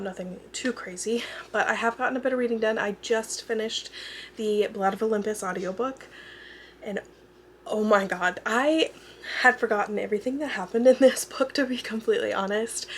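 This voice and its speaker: Hoarse young woman, casual book chat, rambling, faint noise behind. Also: mid-range voice. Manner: rambling, many fillers and self-corrections. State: hoarse, losing her voice. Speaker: book vlogger. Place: at home with a dog nearby. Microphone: camera mic.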